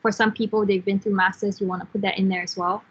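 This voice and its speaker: Husky-voiced woman from Singapore, lo-fi call. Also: mid-range voice, flat delivery, fast. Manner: frank, encouraging, many fillers and restarts, laughs easily. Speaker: guest speaker, software engineer team lead. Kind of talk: casual advice talk in an online class.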